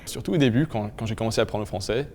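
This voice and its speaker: A young man with a Manitoban French accent, telling stories in a lively way, repeating words and slipping into English. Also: on the low side, rapid-fire, slight background noise. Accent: Manitoban French with English influence. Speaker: interviewee. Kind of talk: testimonial talk.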